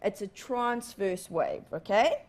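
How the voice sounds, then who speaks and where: A woman in her thirties teaching a live televised lesson in a studio, animated and often checking in with "okay?". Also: medium pitch, slow. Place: TV studio in front of a large screen.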